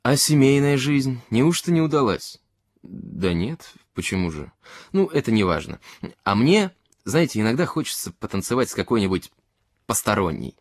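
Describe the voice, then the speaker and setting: A man voicing a small melancholy gentleman in a literary story, shy and eccentric, speaking hesitantly and confidingly with distinct words. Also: mid-range voice, sing-song, normal speed, no echo, clean studio sound. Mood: wistful, hesitant.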